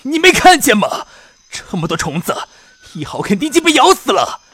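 A man playing a hot-headed, panicky soldier in a radio drama, excitable and coarse. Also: extremely high pitch, normal speed, slightly rough, exaggerated voice. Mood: panicked. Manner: excitable, coarse, shouting and swearing. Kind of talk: Chinese radio drama acting.

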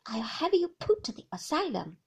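A woman's voice: high voice, big pitch swings, normal speed, creaky, a little mellow, pitched above the performer's own voice, exaggerated voice. A woman voicing a simple-minded, fearful older man who speaks in broken rustic grammar, lifting her whisper into a voiced, pleading tone. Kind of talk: whispered audiobook reading, voiced aloud for the figure.

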